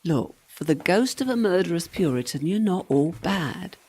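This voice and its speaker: A woman's voice: on the low side, lively intonation, normal speed, warm tone, husky. An actress playing the ghost of a serving girl in a radio play, practical and teasing, with a dry, matter-of-fact manner. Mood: wry, matter-of-fact.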